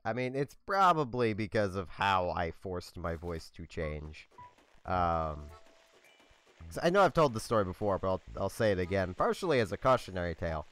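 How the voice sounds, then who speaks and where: A young man hosting a let's play, talking in a monotone, low-key, rambling way with a slightly scratchy voice. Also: normal speed. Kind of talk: casual gameplay commentary.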